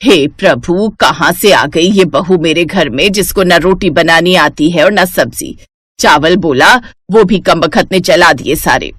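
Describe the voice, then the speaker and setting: A woman's voice: lowish voice, unhurried, a bit bright, slightly rough, dry recording, clean studio sound. A woman voicing a bitter, scolding older mother-in-law in a dramatized moral story. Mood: scornful.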